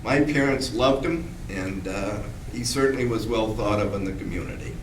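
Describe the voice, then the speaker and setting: An older man in the audience at a public talk, reminiscing about his great-grandfather in a halting way with many restarts. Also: fairly low pitch, background noise. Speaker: audience member, descendant. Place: meeting room at a public talk. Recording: roomy hall, mic at a distance.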